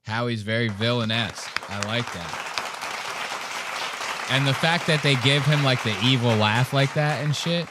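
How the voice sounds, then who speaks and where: A man's voice: mid-range voice, moderate pace, over a noisy crowd, heavy background noise. A man hosting a live stream with casual, profane, slangy and mocking reaction commentary.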